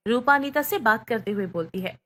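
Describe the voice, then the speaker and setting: A woman's voice: a little high, lively intonation, fairly quick, a bit bright. A woman reading scripted bedtime story narration.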